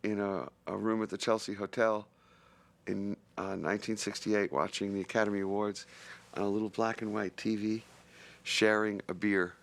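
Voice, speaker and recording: An actor in a retrospective interview, speaking earnestly and reflectively. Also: male voice, lowish voice, steady tone, moderate pace, vocal fry, a bit bright.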